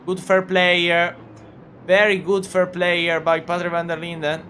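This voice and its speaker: Halting Italian-accented male race commentator. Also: high voice, completely flat delivery, bright, forceful tone, a little hiss or hum. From Italy. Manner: halting, full of "uh" fillers and restarts; chatty, laughs often. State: injured shoulder. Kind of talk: live sim racing commentary.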